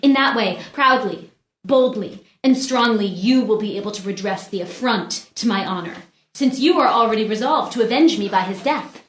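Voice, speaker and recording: A woman's voice: mid-range voice, expressive, moderate pace, lightly acted. An actress playing a proud, wronged young noblewoman in a read-through of a classical play, with earnest, declamatory delivery. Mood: urgent, resolute. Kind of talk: unrehearsed stage play reading. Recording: roomy echo.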